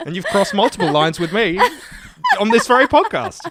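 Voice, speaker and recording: A man's voice: high voice, very animated, a little fast, over a noisy crowd. A man chatting casually and comically as a podcast guest, teasing and sarcastic with mock-grandiose turns.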